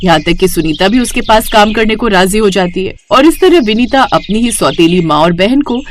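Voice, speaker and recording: A woman's voice: mid-range voice, expressive, normal speed, a little hiss or hum. A woman reading scripted story narration as the narrator.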